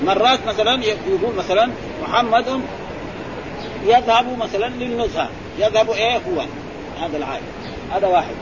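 A man, a sheikh teaching grammar who keeps prompting his students with quick questions. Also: high voice. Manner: quizzing, prompting with repeated "eh?" and "ha?". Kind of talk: classroom explanation with questions to students. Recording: muffled recording with crowd and traffic noise.

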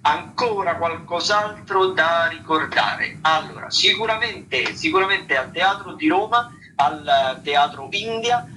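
A man's voice: on the high side, takes it slowly, bright, forceful tone, a little hiss or hum, low-quality recording. A man hosting a radio show, talking through theatre listings in a loose, semi-improvised way, often hesitating and searching for words. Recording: radio broadcast with music underneath. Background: music.

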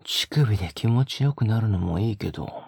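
A man playing a tender, teasing young male lover in an intimate romantic audio drama, breathy and often whispering in a soft, coaxing way close to the microphone. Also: on the low side, expressive, moderate pace, no room sound, heavily acted. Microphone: close microphone, dry sound.

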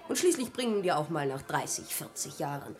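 A haughty noblewoman of a radio play, a disdainful gossip with a pointed tongue. Her pitch swings freely as she insinuates and dismisses. Faint music plays behind her. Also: very animated, brisk. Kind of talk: German radio drama acting.

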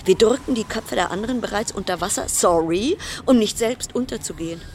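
A woman's voice: mid-range voice, brisk, darkish tone, slight background noise, lightly acted. A woman acting in a radio play as an anxious, sardonic quarantined passenger, delivering a brooding, dramatic interior monologue. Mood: agitated, sardonic.

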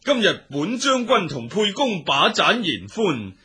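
A man's voice: high voice, sing-song, measured pace, bright, forceful tone, breathy edge, full character voice. A man playing a proud, commanding warlord general in a Chinese historical radio drama, with declamatory delivery. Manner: declamatory, imperious.

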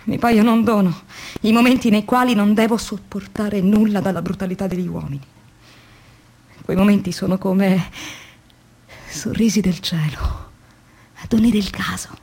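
A woman's voice: medium pitch, moderate pace, pauses often, darkish tone, breathy edge. An actress playing a weary, demoralized young woman factory worker in an introspective, theatrical radio drama monologue. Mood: bitter, reflective. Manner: introspective, theatrical, shifting between murmurs and emphatic phrases.

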